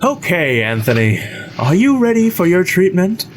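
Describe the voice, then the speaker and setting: A man playing a cold, greedy asylum doctor in a horror audio drama, calm and commanding. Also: high voice, moderate pace, a little hiss or hum, voice pushed up. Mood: coaxing, commanding. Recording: loud music under the voice.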